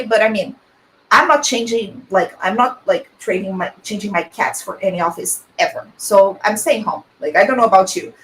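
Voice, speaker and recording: A woman's voice: mid-range voice, poor audio. Young Brazilian-accented woman host, chatty video call, faint hiss. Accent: Brazilian Portuguese. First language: Portuguese. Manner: chatty, laughs often, fills with "like". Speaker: webinar host. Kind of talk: casual webinar chat.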